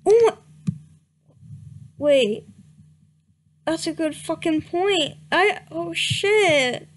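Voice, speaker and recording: A woman's voice: very high-pitched, expressive, extremely slow. A young woman doing a comedic reading aloud, stumbling over words and breaking off for laughing asides and mock outrage. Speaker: reader. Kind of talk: comedic dramatic reading with commentary.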